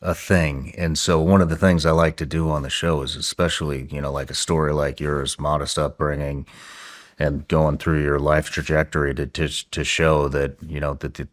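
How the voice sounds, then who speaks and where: A man's voice: extremely low pitch, flat delivery, moderate pace, dry recording, studio quality. A man hosting an interview podcast, speaking casually and often stopping to repeat his words. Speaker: podcast host.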